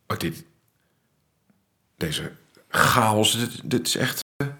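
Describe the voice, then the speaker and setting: Worldly rake astronomer, radio play; low, animated, ironic. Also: male voice, very slow, creaky, noisy background, exaggerated voice. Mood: wry. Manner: ironic, dry, womanizing. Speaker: Max, astronomer. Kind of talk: radio drama acting.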